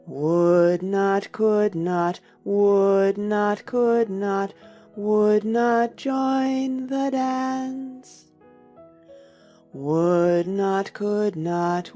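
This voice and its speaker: Woman doing a doleful turtle from a storybook, excitable too, music behind. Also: medium pitch. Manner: slow, drawn-out, doleful. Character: mournful, excitable turtle creature with a sighing temper. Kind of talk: dramatized storybook audiobook.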